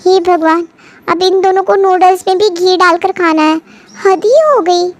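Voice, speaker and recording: A woman's voice: very high voice, unhurried. A woman voicing a picky, sarcastic young sister-in-law in a cartoon family story, pouty and exasperated.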